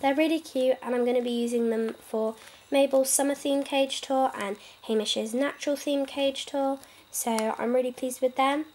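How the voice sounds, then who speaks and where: Woman, slightly higher voice, cold-affected, chatty haul vlog, camera mic at home. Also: steady tone, moderate pace, a little mellow, a little hiss or hum. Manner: chatty, enthusiastic, frequent "um" and "like". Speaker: pet vlogger. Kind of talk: casual haul video.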